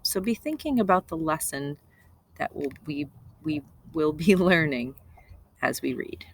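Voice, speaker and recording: A woman's voice: deep voice, animated, measured pace. A woman reading aloud to young children as a teacher, explaining simply and repeating key points. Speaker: second grade teacher.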